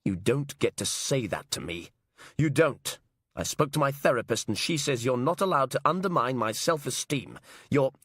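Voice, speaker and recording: A man's voice: on the low side, very animated, moderate pace, hardly any pauses, breathy edge, no room sound. A man reading a whiny, self-pitying male inmate who puts on a fake posh accent. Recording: dry close studio sound.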